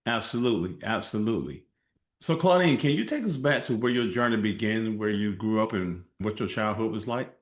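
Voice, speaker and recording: A man's voice: fairly low pitch, expressive, brisk. A man hosting an interview show, encouraging his guest and asking open questions. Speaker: podcast host.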